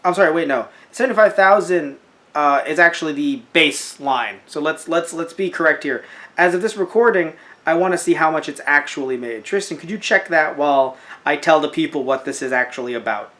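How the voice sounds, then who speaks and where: A young man co-hosting a casual podcast, animated and jokey, slipping into silly voices. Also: fairly high pitch, brisk. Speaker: podcast co-host. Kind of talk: casual chat.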